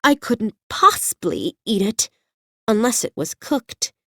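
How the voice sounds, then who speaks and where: A woman's voice: on the high side, big pitch swings, slow pace, bright, forceful tone, dry recording, clean studio sound, voice pushed up, heavily acted. A woman voicing a polite, curious little girl adrift at sea in a storybook reading. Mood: polite wonder. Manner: polite and wondering.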